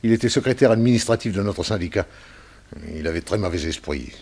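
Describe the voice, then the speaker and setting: Hesitant, low-voiced worried businessman. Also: animated, fairly quick, darkish tone, breathy edge. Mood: puzzled, uneasy. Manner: hesitant, stammering, fussy. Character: worried, hesitant wealthy businessman under death threat. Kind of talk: 1960s French radio serial acting.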